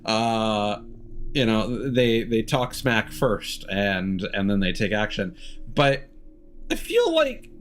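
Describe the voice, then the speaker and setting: A man giving casual livestream game commentary, musing in a halting way and often trailing off mid-thought. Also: fairly low pitch, sing-song, slight background noise. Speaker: game streamer. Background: game music.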